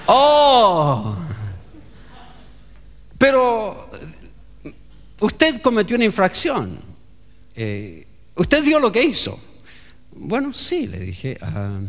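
A man's voice: high-pitched, wildly swooping pitch, slow, creaky, pauses often, in a large hall. A male pastor with a Chilean accent preaching a sermon and acting out the dialogue of a story. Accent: Chilean Spanish.

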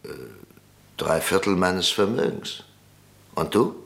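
An actor playing a weary, irascible middle-aged conservative politician in a radio drama, blunt and sardonic. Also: male voice, sits low, slow, fairly bright tone, exaggerated voice. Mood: gruff, defensive. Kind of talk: German radio drama dialogue.

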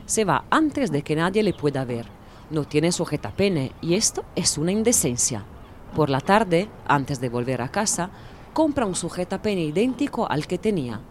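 A woman narrating an audiobook in a calm, measured manner. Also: deep voice, slight background noise. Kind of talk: audiobook reading.

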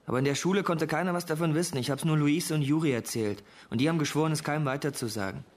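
Defensive teenage boy, lightly high voice, male actor. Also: very fast, natural voice. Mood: defensive confession. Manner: hesitant, defensive explaining. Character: teenage schoolboy suspect, defensive, uneasy. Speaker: actor in radio crime drama. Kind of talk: German radio play acting.

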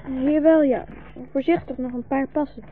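A woman's voice: high voice, steady tone, moderate pace, slight background noise. A woman playing an anxious, caring young boy in a 1960s radio drama, careful, polite and helpful. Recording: thin, narrow-band 1960s radio recording.

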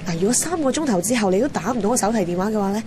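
A woman's voice: mid-range voice, lively intonation, racing, background noise, lightly acted. An actress playing an impulsive, dreamy young woman in a Cantonese radio drama, with a Hong Kong accent. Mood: determined. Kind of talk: radio drama narration and dialogue. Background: music.

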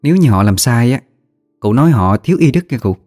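A man's voice: mid-range voice, expressive, fast, darkish tone, voice pushed up, lightly acted. Man voicing an eager Southern Vietnamese young woman, slightly raised. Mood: fond advising. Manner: eager, chatty, respectful. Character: admiring, talkative young woman, respectful, gently advising elders. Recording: music behind the voice.

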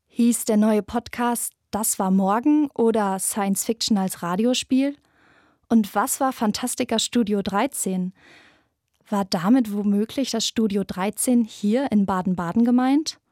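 A woman's voice: medium pitch, unhurried, darkish tone, no echo, clean studio sound. A young woman in her twenties presenting a scripted podcast narration. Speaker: presenter, radio drama intern.

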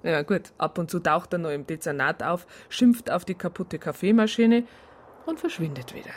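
An actress playing a matter-of-fact woman reporting on a colleague in a radio drama, with a husky voice and a dry manner. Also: normal speed, natural voice. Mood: resigned. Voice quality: husky, low-pitched.

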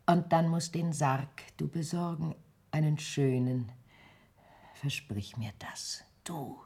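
An actress playing a frail, dying old mother in a radio play, speaking in breathy, faltering whispers. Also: deep voice, takes it slowly, a little mellow, heavily acted. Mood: weary. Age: older. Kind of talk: German radio play acting.

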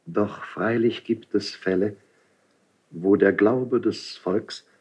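A man's voice: fairly low pitch, slow, darkish tone, slightly rough. A man acting an insinuating, obsequious royal priest in a 1970s German radio play, solemn and classical in delivery. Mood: sly, insistent. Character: insinuating, unctuous royal priest, feigning reluctance while accusing.

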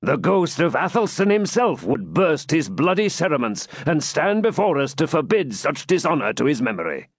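A man voicing a stern, proud old Saxon lord and father in a forceful, declamatory storybook manner with archaic speech. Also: on the high side, sing-song, moderate pace, few pauses, slightly rough, dry recording, clean studio sound, pitched above the performer's own voice, full character voice.

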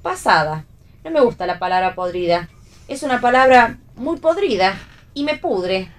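A woman's voice: mid-range voice, very animated, moderate pace, lightly acted. Woman playing a teasing, theatrical Argentine actress. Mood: needling. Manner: ironic, playful with words. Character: theatrical, teasing actress who needles her friend. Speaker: actress in a read radio play. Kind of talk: read radio theatre dialogue.

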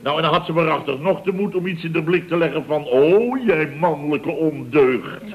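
A man's voice: a little high, animated, moderate pace, muffled recording, a little hiss or hum, lightly acted. An actor playing a boastful, self-important male building contractor with a Dutch accent, telling tales in a pompous, stammering, chuckling way in a radio comedy serial. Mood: smug, amused. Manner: pompous, stammering repetitions, chuckling, mock indignation.

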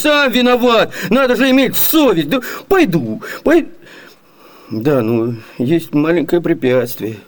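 Radio play role: chatty, scheming, ever-hungry manservant; high male voice, very lively melody, theatrical delivery. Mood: indignant, then grumbling, plaintive. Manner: sighing, expressive, eager. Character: hungry, wily, chatty comic servant man. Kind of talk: theatrical Soviet radio comedy acting.

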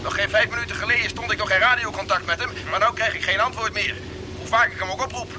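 An actor playing an anxious, dutiful base radio operator in a vintage radio drama. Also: male voice, high voice, steady tone, quick pace, natural voice. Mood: worried. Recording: noisy old radio play transmission.

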